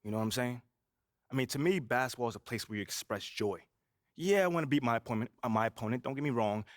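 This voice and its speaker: Man doing a young basketball rookie, brash, laid-back, lots of "you know", middle pitch, dry studio sound. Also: quick pace, no room sound, natural voice. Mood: measured. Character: confident young male pro basketball rookie. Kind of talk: video game cutscene voice acting.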